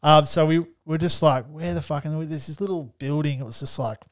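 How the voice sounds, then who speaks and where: A man's voice: fairly high pitch, brisk, no echo, clean studio sound. A young Australian man telling stories in casual podcast chat, laid-back and swearing freely. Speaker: podcast host.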